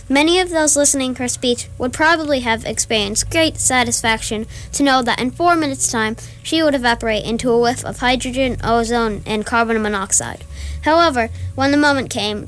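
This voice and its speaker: A woman playing a matter-of-fact guidebook narrator, reading measured, explanatory narration in an amateur radio play. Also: fairly high pitch, a bit bright, natural voice.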